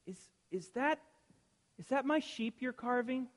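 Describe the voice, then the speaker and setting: A woman's voice: high-pitched, lively intonation, measured pace, pitched above the performer's own voice. Eager little boy voiced by a woman. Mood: earnest. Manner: earnest, pleading, stumbling starts. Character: eager polite seven-year-old boy. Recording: echoey room, long reverb, faint hiss.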